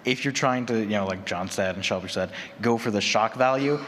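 A man with a fairly low voice moderates a live panel discussion. He talks conversationally, sprinkling in little tag questions. The hall rings around him, with faint noise behind. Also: brisk, a bit bright. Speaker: panel moderator and horror podcaster. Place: large conference room with audience. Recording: echoey hall with background noise.

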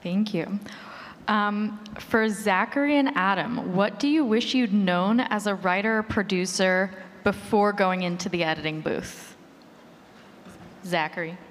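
A woman's voice: medium pitch, measured pace. A woman moderating a live panel, putting questions to the speakers. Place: live convention panel in a hall. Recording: echoey hall, clear ambient noise.

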